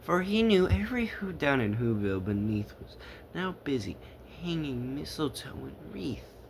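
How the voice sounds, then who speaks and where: A man's voice: fairly high pitch, very animated, gravelly, a little hiss or hum, poor audio. A man reading a rhyming children's story aloud theatrically, leaning into words with dramatic emphasis. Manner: dramatic, drawn-out emphasis and repetition.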